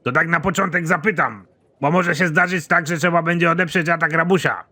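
Brusque, bright-voiced cartoon general played by a man. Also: fairly high pitch, fairly quick. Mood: brusque, sardonic. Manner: blunt, sardonic, swearing. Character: foul-mouthed, exasperated army general, middle-aged man, absurdly pompous. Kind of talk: animated comedy series dialogue.

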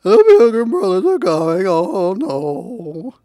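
A man's voice: high voice, huge pitch swings, slow pace, mellow, dry recording, clean studio sound, voice pushed up, heavily acted. A man voicing a panicky turkey in a storybook, wailing and pleading frantically. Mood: frantic, pleading. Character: panicky male turkey fleeing from being cooked. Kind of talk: storybook character voice.

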